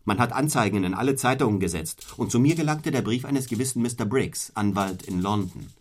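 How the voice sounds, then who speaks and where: An actor playing a cold, stern, fiercely ambitious clergyman in a radio play, speaking in a formal, deliberate, rhetorical way. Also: medium pitch, lightly acted. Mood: measured storytelling. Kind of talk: German radio play acting.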